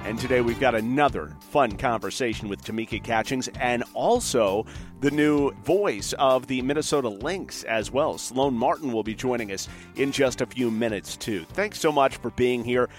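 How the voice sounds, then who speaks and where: A man's voice: medium pitch, animated, moderate pace, soft background music. A man hosting a podcast, talking in a conversational introductory style. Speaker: podcast host.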